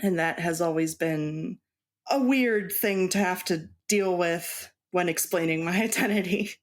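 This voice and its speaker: A woman's voice: on the low side, unhurried. A woman whose first language is Spanish, a cross stitch designer, talking casually as an interview guest and laughing often. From Mexican household.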